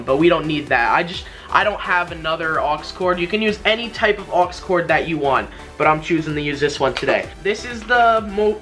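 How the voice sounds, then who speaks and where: A young man talks through a casual tutorial in a bright, forward voice that sits a little high. He rambles, doubling back to rephrase his points. Light music plays behind him. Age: young adult. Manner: rambling, repeats and rephrases himself. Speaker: YouTuber, tutorial host. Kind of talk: casual tutorial talk to camera. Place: small bedroom gaming setup, indoors. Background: background music.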